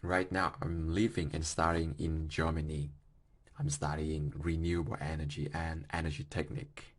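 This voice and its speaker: A man in his twenties from Vietnam with a Vietnamese accent, hosting a solo podcast in careful, halting English with small grammar slips. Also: extremely low pitch, flat delivery. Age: 20s. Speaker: podcast host.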